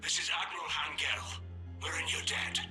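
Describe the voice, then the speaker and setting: Man playing a high-voiced, defiant fleet admiral. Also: fairly even intonation, a little fast, music in the background, natural voice. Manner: proud, clipped, self-justifying. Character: defiant, hawkish male alien fleet admiral. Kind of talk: video game acting.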